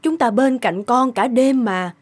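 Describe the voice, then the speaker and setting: An actress playing a gentle, caring wife in a folktale cartoon. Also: female voice, a little high, unhurried, slightly rough. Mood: soothing. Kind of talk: cartoon dubbing. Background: music.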